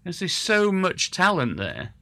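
A man interviewing a guest in a relaxed, rambling way, full of hesitations and restarts. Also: high-pitched, measured pace, dry recording. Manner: rambling, hesitant, self-correcting. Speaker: interviewer and musician. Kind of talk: casual conversational interview.

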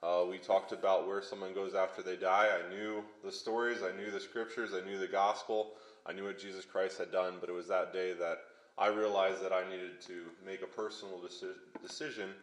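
Low-voiced young man preaching in echoey hall. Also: a bit bright. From Pennsylvania, USA. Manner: halting, many fillers and restarts. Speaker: pastor. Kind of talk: sermon testimony.

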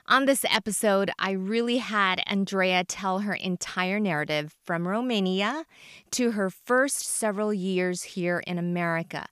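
A woman's voice: medium pitch, a bit bright, smooth, no echo, clean studio sound. A woman hosting a podcast, reading an upbeat scripted introduction to her guest. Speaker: podcast host.

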